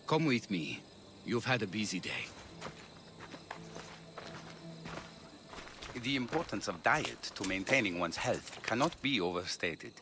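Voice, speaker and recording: An actor playing a dedicated, brisk ancient Greek physician in a video game, earnest and emphatic in the way he talks. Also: lowish voice, expressive, noisy background. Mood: friendly, measured.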